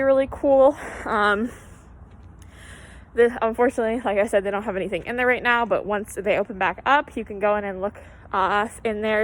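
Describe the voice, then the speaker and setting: Chatty young woman giving a walking tour. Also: fairly high pitch. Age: young adult. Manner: chatty, upbeat, many fillers and self-corrections. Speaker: student tour guide. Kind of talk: walking campus tour, casual. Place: outdoors on a college campus, near a highway.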